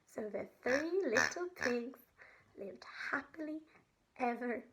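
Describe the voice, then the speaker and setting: A woman storyteller with a high voice, speaking slowly to young children. She keeps her phrases simple and leaves pauses for the listeners. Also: expressive, a bit bright.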